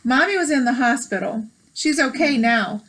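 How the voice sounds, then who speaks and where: Casting: American woman as read-aloud host, slightly high voice, encouraging, explains things simply between lines. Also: animated, moderate pace, a bit bright, low-quality recording. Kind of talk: reading a picture book aloud with chatty asides.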